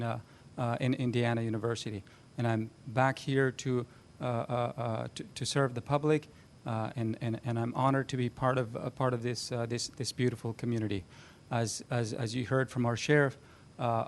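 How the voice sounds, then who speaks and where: Man from afghanistan, second-language english, halting speech full of fillers, faint hum behind, podium mic. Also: medium pitch. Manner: halting, many fillers and repeats. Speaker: sheriff's deputy. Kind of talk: public remarks at a meeting. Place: county commission chamber.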